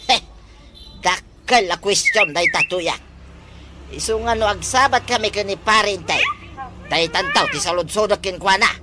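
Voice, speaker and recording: A woman's voice: mid-range voice, very animated, normal speed, very noisy, natural voice. A woman playing a fretful, nosy village neighbor in an Ilocano comedy drama. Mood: gossipy, grumbling.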